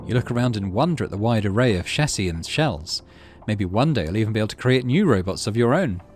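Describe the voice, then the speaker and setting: A man's voice: lowish voice, lively intonation, fast talker, a little mellow, soft background music. A man giving casual let's play commentary, thinking aloud through his choices and reading out game text. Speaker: gaming video host.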